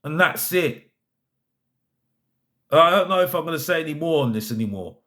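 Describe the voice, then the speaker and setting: Male football fan vlogger, slightly high voice, fed up and emphatic, hammering points through repetition. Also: animated, moderate pace, pushed and bright. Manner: emphatic, repeats phrases for effect; exasperated, fed up. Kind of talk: opinionated fan rant to camera.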